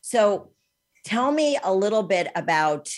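A woman's voice: medium pitch, big pitch swings, unhurried. A woman in her sixties hosting a podcast interview. She is chatty and enthusiastic and drops in ums as she talks. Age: 60s. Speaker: podcast host.

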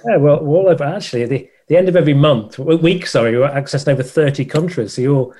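A man's voice: fairly high pitch, quick pace, dark tone. A man hosting a casual interview, asking questions in a hesitant, rambling way. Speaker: interviewer, host.

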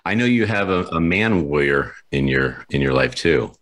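A man's voice: extremely low pitch, expressive, moderate pace, a bit bright, slight background noise. A man hosting an interview show, upbeat and enthusiastic, often repeating words as he talks. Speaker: podcast host. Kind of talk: casual interview chat.

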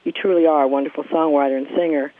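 A woman's voice: low-pitched, fairly even intonation, racing. A woman hosting an interview, speaking earnestly and effusively in reflective, literary phrases. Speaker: talk show host and interviewer. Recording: muffled phone-grade radio audio.

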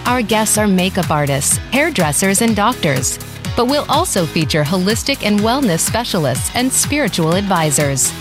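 A woman reading a scripted radio promo in a polished, smooth way. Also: mid-range voice, big pitch swings, moderate pace, over music. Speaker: promo announcer.

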